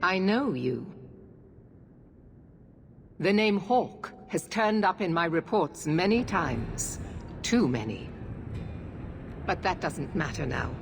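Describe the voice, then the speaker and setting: 낮은 목소리의 엄격한 기사. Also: female voice, fairly low pitch, sing-song, slow pace, creaky, pauses often, heavy background noise, heavily acted. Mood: stern, commanding. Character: stern, commanding female knight and guard officer. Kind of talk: video game voice acting.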